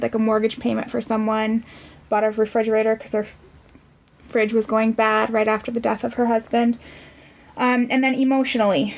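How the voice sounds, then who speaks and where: Higher-pitched American woman, fairly even delivery. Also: rough recording. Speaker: podcast guest, nonprofit director. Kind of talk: explanatory interview answers.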